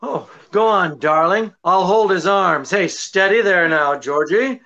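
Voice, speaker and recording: A man's voice: sits high, pushed and bright, exaggerated voice. Middle-aged guy playing a wry suave detective, takes his time, old radio mystery comedy, bit muffled. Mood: teasing. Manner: unhurried, wry, hesitating for effect. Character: suave, teasing married male detective. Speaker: actor. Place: indoors, small draped room. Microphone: video call. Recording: muffled video-call audio.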